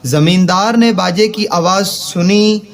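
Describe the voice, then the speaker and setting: High-pitched, slow male children's story narrator. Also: loud music behind the voice.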